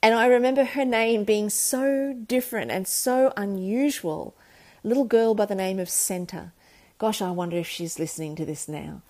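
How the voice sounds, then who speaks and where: A woman's voice: mid-range voice, a little mellow. A woman from South Africa hosting a podcast, telling her life story in a candid, reflective and earnest way. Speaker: podcast host. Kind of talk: personal storytelling monologue.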